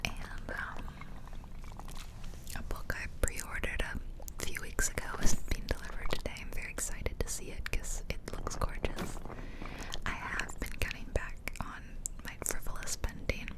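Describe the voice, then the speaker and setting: A woman in her twenties rambling casually in a soft, unvoiced whisper for ASMR, close to the microphone. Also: measured pace, rough recording. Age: 20s. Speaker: ASMR video host. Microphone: close ear-to-ear ASMR microphone.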